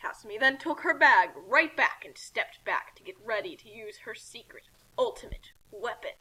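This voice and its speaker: Female story reader, high-pitched and swooping, animated comic narration. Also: moderate pace, breathy edge, low-quality recording. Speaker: reader of fan fiction.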